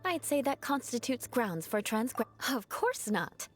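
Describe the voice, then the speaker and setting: A woman's voice: on the high side, big pitch swings, a little slow. A woman acting a dignified, teasingly vengeful young aristocrat in a video game, with formal, lofty diction. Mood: composed, haughty.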